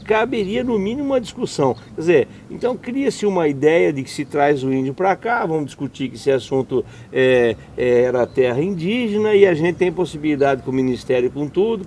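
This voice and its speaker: A man's voice: on the high side, darkish tone, slight background noise. A man hosting an interview, summing up answers and asking leading questions. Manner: leading questions, summarizing remarks. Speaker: interviewer, host. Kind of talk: conversational interview.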